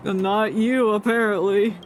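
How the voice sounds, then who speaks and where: A woman game streamer giving casual, deadpan commentary and teasing the characters as she plays. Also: mid-range voice, normal speed, a little hiss or hum.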